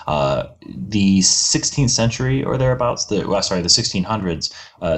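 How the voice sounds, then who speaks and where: A man, a guest historian on a podcast interview, giving long, measured explanations full of "you know" and "uh". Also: deep voice, fairly bright tone.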